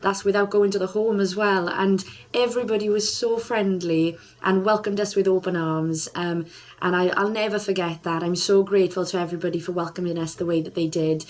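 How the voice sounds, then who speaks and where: A woman's voice: medium pitch, steady tone, a little fast. A woman singer and charity ambassador chatting in an interview, bubbly and enthusiastic, laughing often as she talks. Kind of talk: casual interview chat.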